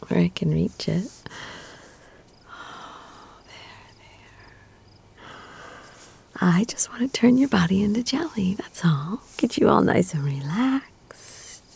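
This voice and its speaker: A woman speaking in a soft, breathy whisper with teasing murmurs, performing an intimate audio roleplay. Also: fairly low pitch.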